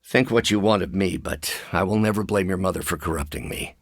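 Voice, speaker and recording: A man voicing a mild-mannered, brilliant but troubled middle-aged scientist for a video game, speaking in a measured, articulate, wry way. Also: low-pitched, no echo, clean studio sound. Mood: earnest, regretful.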